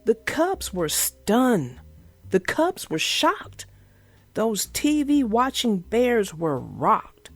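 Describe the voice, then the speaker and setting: A woman reading a picture book aloud, her pitch swooping through a lively, sing-song range. She takes her time over each line, and soft music plays beneath her. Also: drawn-out words. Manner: animated, sing-song, expressive. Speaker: storyteller. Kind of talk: children's book read-aloud.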